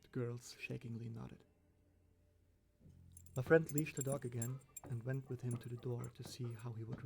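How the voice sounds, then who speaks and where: A man's voice: medium pitch, gravelly, warm tone. A man reading a scripted first-person horror story as its narrator. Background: background music.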